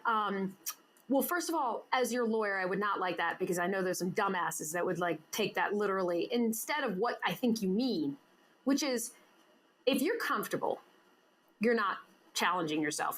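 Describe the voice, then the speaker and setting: A woman's voice: mid-range voice, lively intonation, normal speed. A woman hosting an interview show, bubbly and teasing, laughing often as she banters with her guest. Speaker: podcast host. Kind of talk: casual interview chat.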